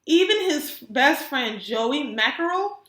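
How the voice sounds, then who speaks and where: High-voiced woman reading aloud to young children. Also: slow pace, echoey room. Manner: expressive, prompting young listeners with questions. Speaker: kindergarten teacher. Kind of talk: animated children's read-aloud with questions to listeners.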